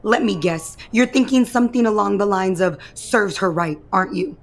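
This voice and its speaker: Casting: woman as biting soap opera wife, defiant and sarcastic, mid-pitched, light music underneath. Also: moderate pace, lightly acted. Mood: biting sarcasm. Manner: sarcastic, cutting. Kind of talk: audio soap opera acting.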